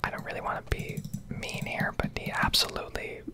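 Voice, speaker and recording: A woman whispering a rambling, casually sweary ASMR commentary over gameplay, close to the microphone. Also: brisk. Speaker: game streamer.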